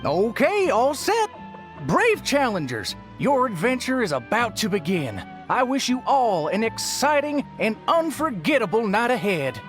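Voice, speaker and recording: A man's voice: very high-pitched, huge pitch swings, unhurried, a bit bright, soft background music, exaggerated voice. A man voicing a genial, theatrical festival greeter in a video game, grand and ceremonious. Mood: ceremonious send-off.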